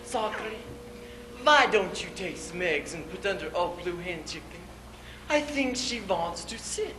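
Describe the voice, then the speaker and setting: A man puts on a sweet, teasing farm wife for a comic monologue. The voice is high and lilting, coaxing a husband along. It sounds echoey, with clear noise. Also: animated, measured pace.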